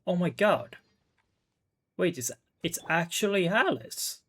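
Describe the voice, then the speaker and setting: A streamer reacting casually to a game, speaking in halting, short exclamations. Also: medium pitch, animated, fairly bright tone.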